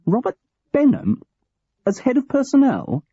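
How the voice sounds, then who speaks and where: A man's voice: mid-range voice, huge pitch swings, normal speed, slightly rough, dry recording, voice pushed up, exaggerated voice. A man reading the part of a middle-aged company manager, loyal and ambitious, speaking in a polite, restrained way, on a dull lo-fi recording. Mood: shocked.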